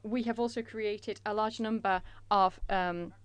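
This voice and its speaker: A woman renders a conference presentation in a measured way, pausing often on fillers. Her voice is smooth and clear, recorded close and dry. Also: medium pitch, fairly even intonation, no room sound. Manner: measured, with frequent filler pauses.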